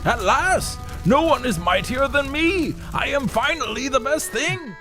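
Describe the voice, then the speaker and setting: A man's voice: very high-pitched, lively intonation, measured pace, pushed and bright, faint music behind, pitched above the performer's own voice, exaggerated voice. A man playing a simple, jealous stonecutter in a folk tale, theatrical and emphatic as he craves more. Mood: triumphant. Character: simple, jealous, ever-grasping male stonecutter wishing for power.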